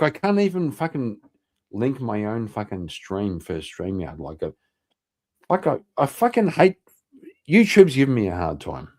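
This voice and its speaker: A man hosts a casual livestream in a lowish voice that swings widely in pitch. He is blunt and combative, and swears freely as he talks. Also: a little mellow. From Northern Ireland. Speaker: livestream host.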